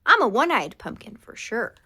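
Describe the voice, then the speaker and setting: Storybook pumpkin, played by a woman: little, proud, gleefully fierce, jolly and boastful, very animated pitch. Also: normal speed, husky, exaggerated voice. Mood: gleeful boasting. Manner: booming jolly ho-ho laughter, boastful. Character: small round proud pumpkin, gleefully fierce, childlike male. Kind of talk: storybook character voice.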